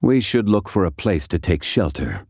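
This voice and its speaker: Game character: an ancient scholarly gentleman, low and dark, formal, unhurried. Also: very animated, no echo, clean studio sound. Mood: calm, formal. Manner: measured, formal, deliberate diction. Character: composed, ancient, scholarly gentleman bound by contracts. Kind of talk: video game character voice lines.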